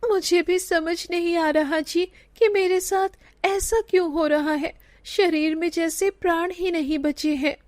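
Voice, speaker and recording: A woman's voice: very high-pitched, steady tone, a little slow, few pauses, a little mellow, dry recording, voice pushed up. A woman voicing a meek, ailing young village wife in a cartoon story, with weak, pleading delivery. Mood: weak, suffering. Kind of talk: cartoon moral story acting.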